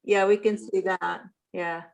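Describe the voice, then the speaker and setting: A woman's voice: mid-range voice, flat delivery, unhurried, gravelly, low-quality recording. A woman chatting casually and practically on a video call.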